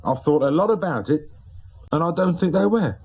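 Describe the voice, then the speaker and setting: An actor playing a grieving, insistent widowed shopkeeper in a radio drama, with a working-class English accent and plain colloquial speech. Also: male voice, fairly high pitch, lively intonation, normal speed, husky, highs cut off. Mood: grieving, puzzled. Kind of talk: naturalistic radio drama acting.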